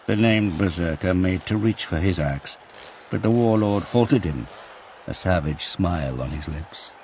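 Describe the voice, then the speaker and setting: A man narrating a dark war story as a grave storyteller in an audio drama. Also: sits low, animated, a little slow, noisy background. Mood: grave, dramatic.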